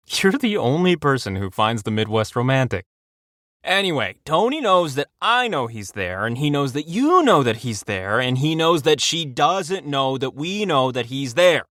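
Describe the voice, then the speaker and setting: An actor playing a middle-aged husband and dry-witted, teasing co-conspirator in a comedic audio drama. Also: male voice, mid-range voice, a little slow, no room sound. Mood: conspiratorial. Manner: animated, dryly teasing.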